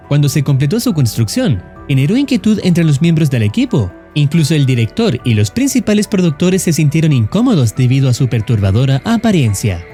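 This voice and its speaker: A man narrating a horror story as a scripted voice-over, in a steady, solemn storytelling manner with ominous emphasis. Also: on the high side. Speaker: YouTube horror channel narrator.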